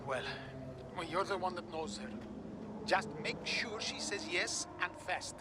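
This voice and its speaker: An actor playing an anxious, pushy racing team executive in a video game drama, urgent and coaxing. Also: male voice, sits high, expressive, measured pace, heavy background noise. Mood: impatient.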